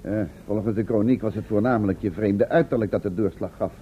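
An actor playing a sceptical, matter-of-fact companion with a dry, mildly sarcastic manner in a radio play. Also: male voice, on the low side, a little fast, lightly acted. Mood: dry, questioning. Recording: muffled old radio recording, faint hiss.

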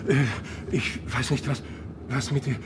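A man's voice: flat delivery, measured pace, natural voice. Man playing a flustered train conductor, noisy old recording. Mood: nervous, flustered. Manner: hesitant, stammering. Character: slow-witted, flustered male train conductor. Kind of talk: 1960s German radio play acting. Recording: noisy old radio recording with vehicle rumble.

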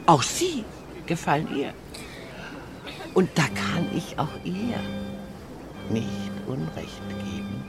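An actress playing a worldly, wistful older lady in a German radio drama, speaking formally with a gently teasing manner. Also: deep voice, wildly swooping pitch, takes it slowly, long pauses, over loud music, exaggerated voice. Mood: knowing, teasing. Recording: clear background ambience noise.

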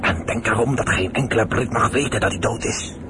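A man's voice: fairly low pitch, a little fast, lightly acted. An actor playing a grave, respectful fighter attending his dying uncle, speaking solemnly in a radio drama. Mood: solemn, resolute. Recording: loud vehicle noise behind the voice.

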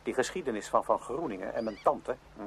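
An actor playing a self-important young man in a Dutch radio play, speaking gravely and emphatically, as one who confides. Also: mid-range voice, animated, brisk, exaggerated voice. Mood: solemn, important. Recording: noisy old radio drama recording.

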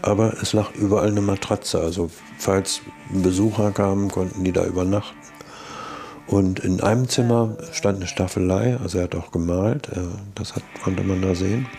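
Interviewee: older man, low mellow voice, matter-of-fact, hesitant phrasing. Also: steady tone, normal speed, creaky, faint music behind. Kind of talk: interview recollection.

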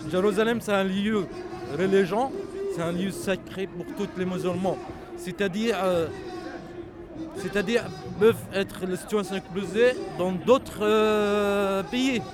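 A man from Palestine, speaking French haltingly and passionately in a street interview, often raising his voice. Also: high voice, fairly even intonation. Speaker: protester interviewed at a rally. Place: outdoor street demonstration. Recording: crowd noise at a street protest. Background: crowd of protesters.

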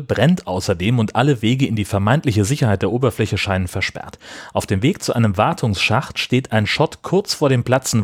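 Husky man recapping a film, dry sound. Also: fairly low pitch, dry recording. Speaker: podcast host. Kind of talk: scripted film summary narration.

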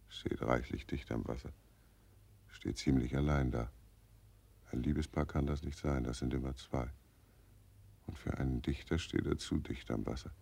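An actor playing Death as a fat, cynical, belching undertaker in a 1940s radio play. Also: male voice, rumbling low, gravelly, dark tone, husky, full character voice. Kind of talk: 1940s radio drama acting.

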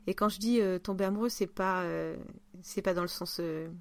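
A woman's voice: on the low side, normal speed, dry recording. A woman chatting casually as a podcast host, often letting her thoughts trail off. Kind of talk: casual one-on-one chat.

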